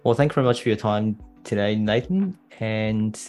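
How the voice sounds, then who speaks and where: Male interviewer, very deep voice, polite, short questions, faint music behind. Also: animated, moderate pace, a little mellow. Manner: polite, brief. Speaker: interviewer, podcast host.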